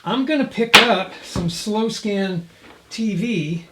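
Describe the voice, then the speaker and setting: Male vlog host with a high-pitched voice, conversational, explains things off the cuff to viewers. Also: slow, very noisy. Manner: thinks aloud, conversational. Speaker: host of a radio hobby video. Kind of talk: casual vlog chat.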